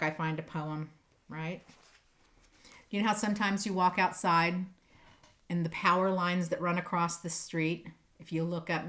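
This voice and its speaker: Female teacher, slightly lower register, expressive poetry reading mixed with casual, enthusiastic talk to kids. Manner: playful, animated, emphatic on sound words; encouraging, enthusiastic. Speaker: teacher reading poems to pupils. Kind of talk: expressive poem reading and casual chat to children.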